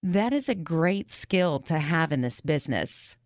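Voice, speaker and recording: A woman playing an interviewer in a scripted practice interview for English learners, reading questions clearly. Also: low voice, big pitch swings, measured pace, no echo, studio quality.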